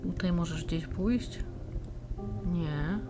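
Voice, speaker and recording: Lowish-voiced woman commenting over game music. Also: slow. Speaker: let's player. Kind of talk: casual gameplay commentary.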